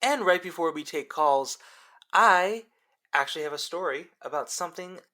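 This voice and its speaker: A young college radio host, played by a man, with a bright, punchy voice. He is excitable and adamant, bantering like talk radio and leaning on dramatic pauses. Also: on the high side. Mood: upbeat, showy. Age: young adult.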